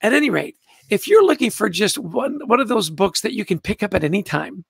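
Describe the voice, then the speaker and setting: An older man from Canada hosting a casual live book club, chatting warmly about favorite books and greeting viewers by name. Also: high voice, sing-song, a little fast, vocal fry, husky, dry recording, clean studio sound. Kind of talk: casual livestream book chat.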